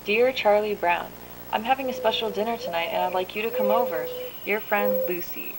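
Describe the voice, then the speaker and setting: A woman playing a secretive girl in an amateur horror cartoon, with stilted, drawn-out delivery. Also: medium pitch, a crowd in the background, close to an everyday voice. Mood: stilted invitation. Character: secretive cartoon girl hosting a sinister dinner. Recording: noisy lo-fi animation audio.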